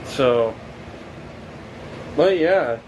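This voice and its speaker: A young man in his late teens talking casually about his life, rambling and halting, with many fillers and restarts. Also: on the high side, expressive, darkish tone, slight background noise, poor audio. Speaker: documentary subject. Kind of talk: casual reflective monologue.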